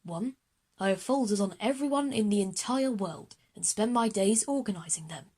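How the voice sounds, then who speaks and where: A woman playing a proud, formal grown man in a children's audio drama, speaking in a courteous, measured way. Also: medium pitch, animated, a little mellow, slightly rough. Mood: proud, composed.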